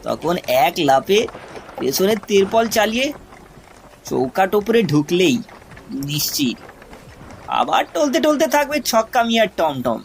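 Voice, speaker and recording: A man narrating a spooky cartoon tale expressively, with hesitant pauses. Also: high-pitched, sing-song, vocal fry, a little hiss or hum. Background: music.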